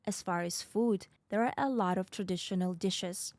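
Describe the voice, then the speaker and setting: A woman's voice: medium pitch, moderate pace, a little mellow, dry recording. A woman from the North Caucasus whose first language is a North Caucasian language, hosting a podcast as an explanatory monologue with wry, ironic asides. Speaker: podcast host.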